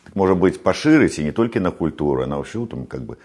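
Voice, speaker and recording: A man's voice: low-pitched, very animated, fast talker, darkish tone, husky. A man from Belarus interviewing a guest with short, teasing questions. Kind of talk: casual interview.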